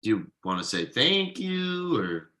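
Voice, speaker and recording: Man reading as earnest writer, bright forceful voice. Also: mid-range voice, sing-song, unhurried. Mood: earnest, questioning. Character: Thomas, earnest, frugal male writer, easily excited. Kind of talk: table read of a TV pilot script.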